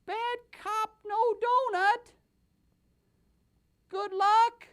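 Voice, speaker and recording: Very high, excitable puppet voice. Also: male voice, very slow, full character voice. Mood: cheery sign-off.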